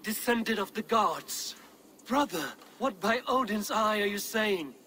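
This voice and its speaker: A sharp, forceful male Viking warrior, pitched a little high. He challenges bluntly and impatiently in dramatic game style, with faint ambience behind him. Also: measured pace, a little hiss or hum. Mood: incredulous. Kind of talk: dramatic video game acting.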